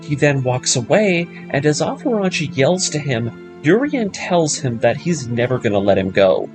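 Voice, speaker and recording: Animated male narrator with music behind. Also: on the high side. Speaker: narrator of anime story breakdown series. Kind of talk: scripted narration, story recap. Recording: voice-over with music underneath.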